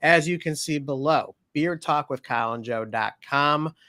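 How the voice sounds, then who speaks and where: A man's voice: a little high, normal speed, sharp tone, no echo. A man co-hosting a casual livestream chat, upbeat and joking as he plugs merch. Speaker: co-host.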